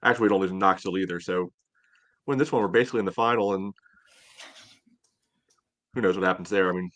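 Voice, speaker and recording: Dry, sardonic man with a lowish voice. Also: fast, a bit bright. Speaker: sports podcast panelist. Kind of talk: casual sports chat.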